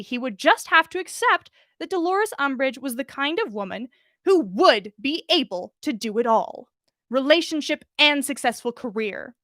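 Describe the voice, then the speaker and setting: A woman's voice: fairly high pitch, a bit bright, no room sound, studio quality. A woman narrating fan fiction expressively as a podcast host reading a story aloud.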